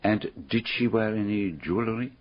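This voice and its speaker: An actor playing a courteous, probing foreign detective in a radio drama, with formal foreign phrasing and deliberate questions. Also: male voice, lowish voice, lightly acted. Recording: dull, muffled radio drama recording.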